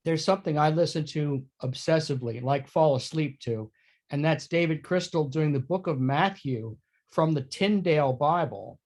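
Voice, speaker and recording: A man's voice: a little high, normal speed, a little mellow. A man talking casually as a guest in a panel discussion about his craft. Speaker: panel guest, accent-certified performer.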